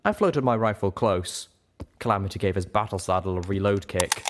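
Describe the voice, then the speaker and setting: A man with a fairly low voice reads fiction aloud in a first-person narrative style. He works as the storyteller, carrying scenes and description. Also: normal speed, noisy background. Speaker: audiobook reader.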